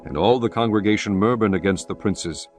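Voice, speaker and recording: A man narrating scripture in a measured, solemn way. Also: lowish voice, soft background music.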